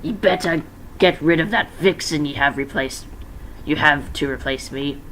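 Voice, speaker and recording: A man's voice: on the high side, normal speed, fairly bright tone, slight background noise, close to an everyday voice, poor audio. A man voicing a cold, defiant, loyal male animatronic pirate fox in a fan-story reading. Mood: cold, threatening.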